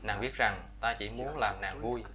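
A man's voice: on the low side, normal speed, crowd noise. A man reads a scripted voice-over translation for a fairy-tale cartoon. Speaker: voice-over translator reading all characters. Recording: voice-over laid over loud film soundtrack. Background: operatic music from the film.

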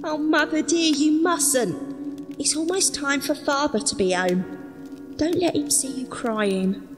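An actress playing a gentle young daughter comforting her mother in an audio drama. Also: high-pitched, takes it slowly. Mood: gentle, concerned. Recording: reverberant, faint music. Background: music.

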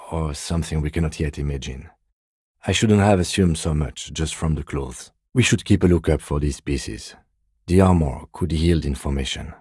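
A man voicing a dry, dutiful police lieutenant detective in a video game, speaking in a measured, formal, deadpan way. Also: extremely low pitch, breathy edge, dry recording. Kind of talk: scripted video game dialogue.

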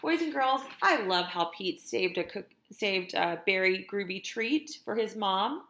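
A middle-aged woman, a teacher, reading a picture book aloud to young children in an expressive, deliberate way, speaking to them directly. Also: mid-range voice, echoey room. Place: indoors in a room at home.

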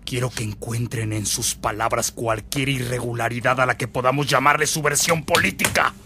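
A man's voice: medium pitch, steady tone, normal speed, pushed and bright, slight background noise, natural voice. A man acting a ruthless, menacing commander with a Mexican accent in a radio drama, barking orders with contempt. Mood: cold menace.

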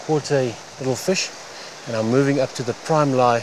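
A man giving instructional fly fishing commentary in halting, unhurried phrases. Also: medium pitch, low-quality recording. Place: outdoors on a wooded stream bank. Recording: outdoor stream noise. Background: running water, outdoor ambience.

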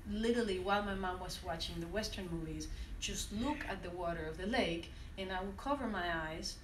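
Lowish-voiced woman from venezuela, french first language, talking to a class, lots of restarts, echoey and far off. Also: fairly quick, background noise. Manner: reflective, with many restarts and hesitations. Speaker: conference speaker. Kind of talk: personal talk to an audience. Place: university classroom, indoors. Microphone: standing mic, recorded by a phone from the audience.

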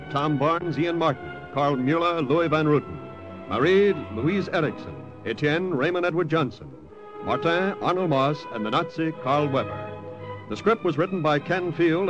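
A man announcing in 1940s radio style, reading out programme credits. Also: on the high side. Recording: muffled old radio recording, music behind.

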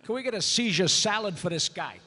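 A man's voice: high-pitched, lively intonation, fairly quick, pushed and bright, slight background noise. A man voicing a cocky, cynical space smuggler, brash and sarcastic, in a comic live table read on a hall stage. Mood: cocky, dismissive. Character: cocky, cynical male space smuggler as loud cartoon character. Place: large convention hall stage. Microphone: table microphone. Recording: reverberant convention hall panel.

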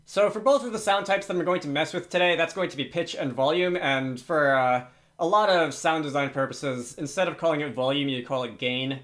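A man's voice: a little high, brisk, fairly bright tone. A man hosting an informal programming tutorial, thinking aloud hesitantly as he works through it at his computer. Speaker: tutorial host. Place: indoors at a computer, screen recording with typing.

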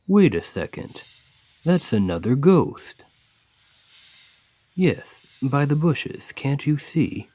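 A man playing a kind, patient gravedigger in a soft, soothing, encouraging ASMR roleplay. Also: medium pitch, dry recording, full character voice. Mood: hushed excitement. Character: kind, gentle gravedigger, patient and playfully fond. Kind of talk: ASMR roleplay, scripted comforting monologue.

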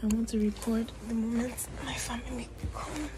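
A woman in her twenties with a Nigerian accent, chatting casually into a phone held at arm's length in her bedroom, animated and self-mocking, sounding sleepy and tired. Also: fairly high pitch, moderate pace, background noise. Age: 20s. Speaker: vlogger. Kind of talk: casual selfie vlog chat. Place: small bedroom indoors.

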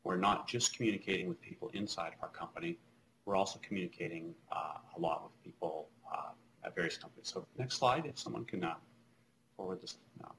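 Deep-voiced man on a webcam keynote. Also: a little fast, fairly bright tone, slight background noise, rough recording. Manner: conversational, many fillers and restarts. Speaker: keynote speaker. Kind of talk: live conference keynote over video link. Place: small office indoors. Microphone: video call webcam.

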